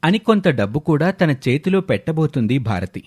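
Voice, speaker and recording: A man's voice: fairly high pitch, big pitch swings, normal speed, a little mellow, husky. A man delivering scripted storytelling narration as the narrator of an audio story.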